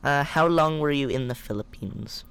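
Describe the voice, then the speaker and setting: A man's voice: mid-range voice, moderate pace, vocal fry, a bit bright, clear, resonant tone. A shy young man hosting his own podcast, reading his interview questions from a script. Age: young adult. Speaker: podcast host.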